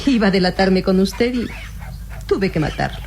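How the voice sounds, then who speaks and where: Lady playing sly young latin american villainess, radio serial, theatrical and sure of herself, medium pitch, noisy old tape. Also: lively intonation, normal speed, natural voice. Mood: matter-of-fact confession. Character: cunning young female impostor, coolly ruthless treasure seeker.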